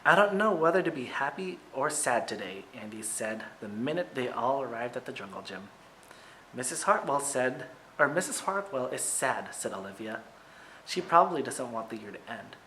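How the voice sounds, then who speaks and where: A man who is a teacher reading a picture book aloud to children, with expressive, emphatic phrasing and short asides to his listeners. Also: medium pitch, normal speed, a little hiss or hum, low-quality recording.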